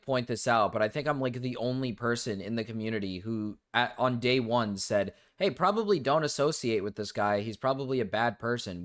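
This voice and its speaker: A young man streaming and ranting to his chat, rambling with restarts and fillers, his voice going low and cracking. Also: fairly bright tone. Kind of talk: casual livestream rant to chat.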